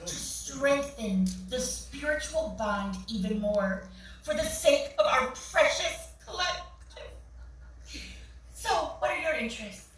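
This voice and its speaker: High, preachy, pious churchwoman acted by a woman. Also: expressive, takes it slowly, fairly bright tone, heavily acted. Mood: earnest, zealous. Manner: preachy, earnest. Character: pious, zealous churchgoing woman, primly fanatical about purity. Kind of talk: staged play reading. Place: small theatre, staged reading. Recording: echoey theatre, far mic, faint noise.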